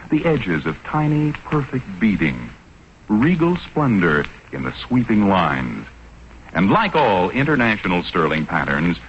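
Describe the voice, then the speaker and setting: A man with an American accent reading a 1940s radio advertisement as announcer, in a polished, emphatic sales delivery. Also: fairly low pitch. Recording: muffled, hissy 1940s radio recording.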